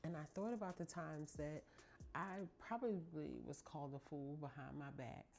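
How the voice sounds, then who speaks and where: Middle-aged woman podcast host, low warm voice, chatty and emphatic, speaks straight to her listeners. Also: animated, moderate pace, soft background music. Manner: chatty and emphatic, addresses listeners as ladies and y'all. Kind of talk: casual heartfelt monologue to listeners.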